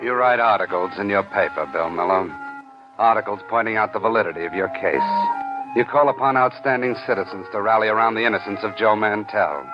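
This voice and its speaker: An actor as a male storyteller from 1940s radio drama, pitched on the low side and speaking with deliberate gravity. Music plays clearly behind him in a dull vintage recording. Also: natural voice. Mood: grave, building. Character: grave dramatic storyteller addressing the hero. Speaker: narrator. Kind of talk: 1940s radio drama narration, second person.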